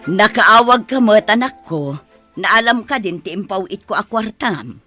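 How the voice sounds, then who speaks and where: A woman's voice: mid-range voice, animated, unhurried, sharp tone, faint music behind. A woman playing a middle-aged mother talking with her daughter in an Ilocano radio drama. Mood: curious, uneasy.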